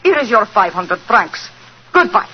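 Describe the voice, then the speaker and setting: An actress in 1940s radio drama playing a proud, possessive young cabaret singer with a French-flavoured foreign accent and curt broken English. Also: female voice, medium pitch, big pitch swings, a little slow, slight background noise. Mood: suspicious. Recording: muffled old radio recording with music.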